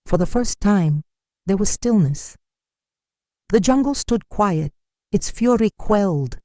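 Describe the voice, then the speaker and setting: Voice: medium pitch, dark tone, dry recording. A narrator delivering a dramatic scripted folktale in a solemn, suspenseful manner.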